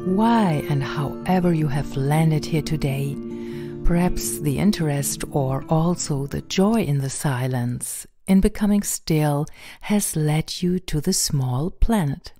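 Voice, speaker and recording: A woman guiding a meditation in a gentle, soothing, unhurried manner, softly spoken and at times breathy. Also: deep voice, no room sound, studio quality. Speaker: meditation guide and podcast host. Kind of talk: guided meditation narration. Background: background music.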